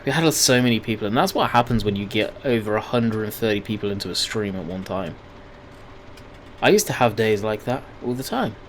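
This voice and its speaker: A man with a slightly croaky voice giving rambling, chatty gameplay commentary, often correcting himself mid-sentence. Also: on the low side, a little hiss or hum. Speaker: gaming video host.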